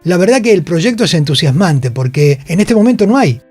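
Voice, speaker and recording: A man's voice: a little high, very animated, fairly quick, darkish tone, husky, no echo, soft background music. A man with a Buenos Aires accent, speaking courteously and agreeably as a guest in a radio interview. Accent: Buenos Aires Argentine. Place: radio studio.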